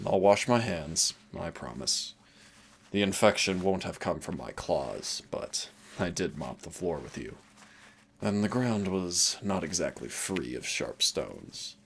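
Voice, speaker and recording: Casting: male actor as smug demon trainer for audio roleplay, very low register, unhurried delivery, menacing but tender. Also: fairly bright tone, slight background noise, exaggerated voice. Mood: gentle. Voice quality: gravelly, low. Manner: slow, smug, teasing, addresses listener directly. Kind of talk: intimate audio roleplay drama.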